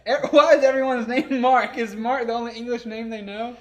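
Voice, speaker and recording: High-voiced man, wry co-host. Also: steady tone, fairly quick, fairly bright tone. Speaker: comedy show co-host. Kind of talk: casual chat with wry commentary.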